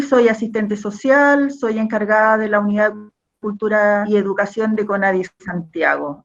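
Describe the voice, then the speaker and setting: A Chilean woman, a government cultural officer, giving a fraternal welcome speech on a video call. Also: medium pitch. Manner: warm, fraternal, with restarts. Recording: dull video-call audio.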